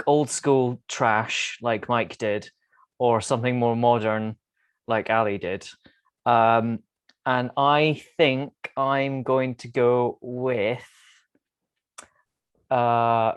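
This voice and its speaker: A man on a panel giving his opinion in a casual, measured way, pausing between phrases. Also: mid-range voice, clean tone, no room sound. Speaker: podcast panelist. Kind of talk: casual film discussion.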